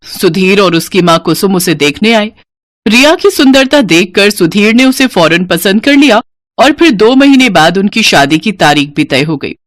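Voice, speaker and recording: A woman tells a scripted story with highly animated, swooping intonation. The recording is dry and close, keeping every turn of the narration clear of room sound. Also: normal speed, dry recording.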